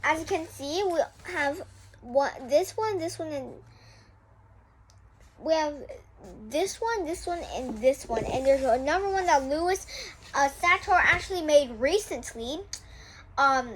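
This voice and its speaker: A woman's voice: sits high, animated, unhurried, poor audio. A young girl hosting a casual read-aloud of a children's book, often breaking off to explain things to viewers in chatty asides.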